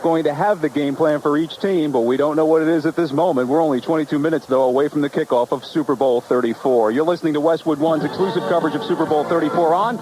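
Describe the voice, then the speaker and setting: High-pitched, fast-talking male sports host. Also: fairly even intonation, background noise. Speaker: pregame show host. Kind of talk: scripted sports broadcast narration. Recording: radio broadcast with music behind.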